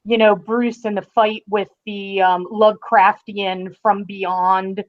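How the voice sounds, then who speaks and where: A woman talks through a TV review as a guest, in an unhurried, emphatic way. She takes her time between phrases. The sound is dull and lo-fi, like a call. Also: mid-range voice, flat delivery. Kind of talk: casual TV review discussion.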